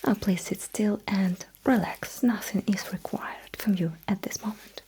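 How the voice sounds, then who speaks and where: A woman whispering breathily in an ASMR roleplay as a sci-fi technician, giving soft, reassuring instructions close to the microphone. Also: medium pitch, fairly even intonation, unhurried.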